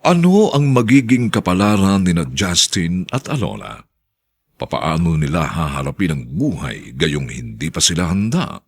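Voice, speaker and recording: A man announcing a radio drama and its credits in a theatrical, deliberate, scripted style. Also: sits low, very animated, husky.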